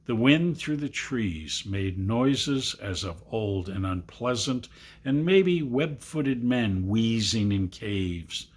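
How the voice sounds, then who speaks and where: A man giving an expressive, dramatic reading of a literary story, lingering over descriptive phrases. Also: lowish voice, slow. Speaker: amateur reader of a literary story.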